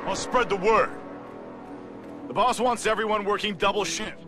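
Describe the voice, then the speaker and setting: A man performs a gruff, bossy task force officer for a video game. His voice is pitched high and his orders are curt and commanding. Music runs clearly behind him. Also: normal speed, lightly acted. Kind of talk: video game cutscene voice acting.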